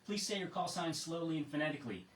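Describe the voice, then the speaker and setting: An American man serves as net control on an amateur radio net. His voice sits a little high, measured and procedural with casual asides. It is picked up from a distance in an echoing room with faint noise. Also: expressive, fairly bright tone. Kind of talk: reading a net script and chatting with check-ins.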